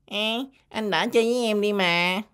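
A woman's voice: mid-range voice, background noise, close to an everyday voice. Woman as a mischievous whiny little cartoon boy. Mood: stubborn. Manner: exclamatory, whiny, drawn-out grunts and cries. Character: mischievous, grubby little boy pencil, stubborn and playful. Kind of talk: children's animated cartoon dubbing.

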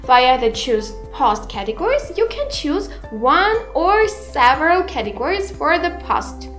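A woman in her twenties presents a scripted tutorial in a high, girlish pitch. She takes her time over each phrase, speaking slowly and deliberately. Music plays behind her voice. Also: a bit bright. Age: 20s. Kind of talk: scripted narration, software tutorial. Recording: roomy echo, music behind. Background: background music.

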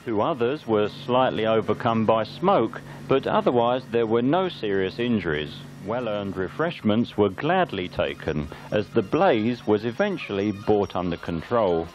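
A man's voice: fairly low pitch, sing-song, a little slow, few pauses, soft background music. A man narrating a local amateur newsreel from a script. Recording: band-limited, dull lo-fi videotape sound.

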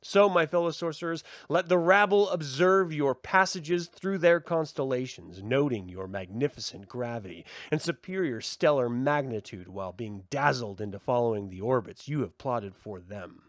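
A man reading a formal essay aloud as a host, with measured, emphatic delivery. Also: mid-range voice, lively intonation, dry recording, clean studio sound. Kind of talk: expressive scripted essay reading.

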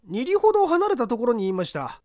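A male narrator plays a deferential foreman in a mystery story. His voice is high and animated, with little room sound. He explains himself earnestly and politely. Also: brisk, no room sound, clean studio sound, heavily acted. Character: deferential male works foreman answering an interrogation.

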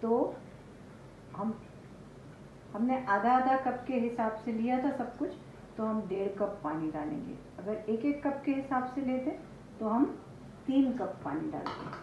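A woman in her sixties with an Indian accent hosts a cooking demonstration, explaining each step. Her voice sits a little high. The recording is roomy and slightly muffled, picked up from a few feet away in a home kitchen. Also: a little slow, long pauses, a little mellow, slight background noise. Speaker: chef, cooking show host.

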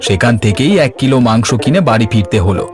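A man's voice: mid-range voice, fast talker, lightly acted. A man voicing a mild, placating village husband and father in a cartoon. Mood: affectionate. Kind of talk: Bengali cartoon voice acting. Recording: clear music behind the voice.

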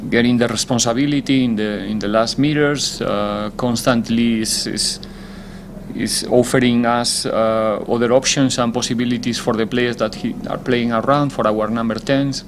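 A man, a football head coach, answering press conference questions in a measured, deliberate way, often pausing to find his words. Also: mid-range voice, steady tone, a little hiss or hum.

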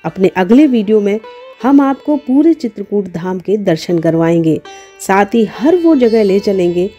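A woman's voice: medium pitch, animated, moderate pace, dark tone, soft background music. A woman narrates a travel vlog, devotional in manner and speaking straight to viewers.

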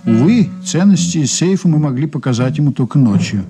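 An actor playing a composed investigator in a radio play, measured and dryly ironic. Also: male voice, on the high side, animated, music in the background. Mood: measured, wry. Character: composed, wry male investigator recounting an old case. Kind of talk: radio drama dialogue and narration.

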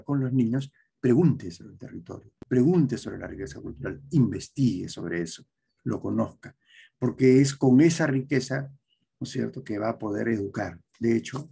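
A middle-aged man, giving an academic talk over a video call, pausing often with fillers and tag questions. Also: mid-range voice, gravelly, dark tone, slightly rough. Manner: lecturing, with frequent hesitations and tag questions. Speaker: conference speaker. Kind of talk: academic presentation. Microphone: webcam on a video call.

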